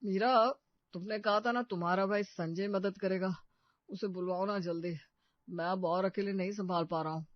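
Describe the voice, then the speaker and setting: Man acting a very high-pitched, exasperated young shopkeeper. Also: fairly even intonation, moderate pace, no echo, lo-fi sound, lightly acted. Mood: pleading. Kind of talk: story cartoon voice acting.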